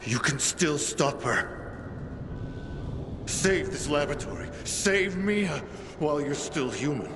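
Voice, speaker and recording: A slow, menacing villain scientist. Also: male voice, on the high side, expressive, over music. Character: cold scheming older male scientist villain. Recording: noisy game cutscene audio.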